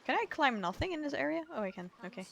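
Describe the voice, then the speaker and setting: A woman's voice: high voice, very animated, fast, vocal fry, slight background noise. A woman streaming a game playthrough, chatting casually in a rambling, self-deprecating, giggly way. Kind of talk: casual playthrough chat and reading dialogue aloud. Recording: music behind the voice. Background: game music.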